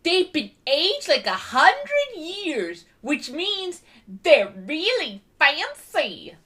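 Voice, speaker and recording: A woman's voice: high voice, huge pitch swings, very slow, bright, forceful tone, heavily acted. A woman voicing a bubbly, quirky female unicorn pony in a fan comic dub, giddy and playful. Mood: excited.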